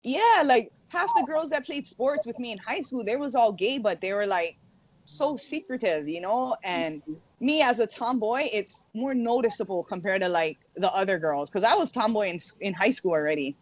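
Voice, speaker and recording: A woman's voice: mid-range voice, expressive, moderate pace, dry recording, poor audio. Laughing young woman from Hawaii. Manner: laughs often, says "like" a lot. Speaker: guest. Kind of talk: casual interview chat.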